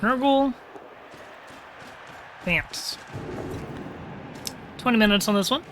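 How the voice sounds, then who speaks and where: A man calls a live game match in a high, ringing voice, talking casually and thinking out loud. His throat is a little crackly. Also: slow pace. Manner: casual, chatty, thinking aloud. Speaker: caster.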